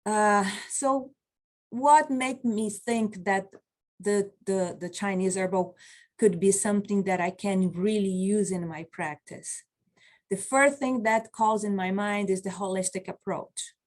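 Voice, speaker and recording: A woman's voice: medium pitch, darkish tone. A woman in her forties, not a native English speaker, teaching an online class as a medicine practitioner, earnest and encouraging, speaking over a webcam from a small office. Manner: slow, earnest, encouraging, reads out chat replies. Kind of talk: online continuing-education lecture. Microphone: video call webcam.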